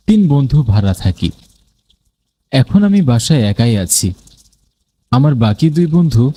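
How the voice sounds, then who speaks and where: Male narrator for a cartoon ghost tale: dark, mellow tone, animated intonation, first-person storytelling. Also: mid-range voice.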